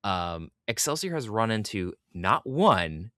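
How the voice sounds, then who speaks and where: A man's voice: lowish voice, sing-song, slow, sharp tone, no room sound, clean studio sound. A man hosting a podcast interview, chatting casually and adding wry asides for the listeners.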